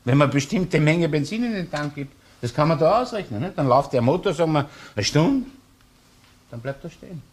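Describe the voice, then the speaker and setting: An actor playing a shrewd middle-aged Viennese chief inspector in a crime drama, thinking a case through aloud. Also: male voice, on the high side, lively intonation, fairly quick. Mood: excited, insistent. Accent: Austrian, Viennese. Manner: thinking aloud, tags sentences with "ne". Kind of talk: crime radio play acting.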